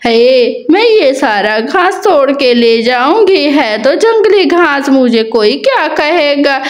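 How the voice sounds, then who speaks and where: Woman voicing a high-pitched plaintive little girl bird. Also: sing-song, takes it slowly, full character voice. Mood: determined. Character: hardworking, wronged little female bird, plaintive but determined.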